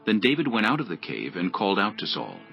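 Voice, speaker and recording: A man's voice: on the low side, wildly swooping pitch, fast, gravelly, sharp tone, soft background music. A man narrating scripture as a scripted dramatized audiobook. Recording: dull lo-fi audio.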